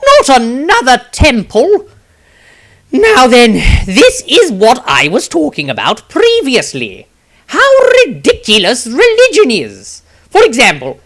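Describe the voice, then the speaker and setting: A scornful, opinionated male adventurer, acted out by a man for a fantasy audiobook. The voice is pitched high and swings widely up and down as it lectures and mocks. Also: fairly bright tone, full character voice. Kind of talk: fantasy audiobook character reading.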